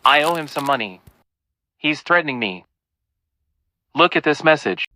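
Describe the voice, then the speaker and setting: A man's voice: a little high, animated, normal speed, bright, forceful tone, no echo, poor audio. A man playing an anxious, pleading young boyfriend in an audio play, speaking nervously.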